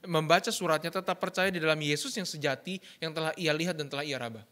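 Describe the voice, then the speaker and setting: A man interpreting a sermon live, talking quickly and often restarting with fillers and self-corrections. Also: fairly high pitch, pushed and bright. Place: church.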